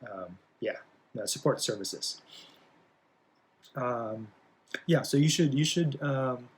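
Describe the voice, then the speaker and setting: A man's voice: mid-range voice, expressive, slow pace. Man, hesitant lecturing with fillers. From Philippines. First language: Bisaya. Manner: hesitant, many fillers, frequent tag "right?". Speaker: college English instructor. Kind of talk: casual recorded class introduction.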